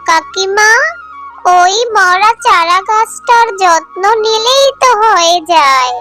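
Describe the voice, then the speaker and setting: Casting: sweet, earnest little cartoon girl, extremely high, slow and emphatic. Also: expressive, pushed and bright, soft background music, full character voice. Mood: gently protesting. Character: earnest, kind-hearted little animal girl Mina. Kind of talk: children's cartoon voice acting.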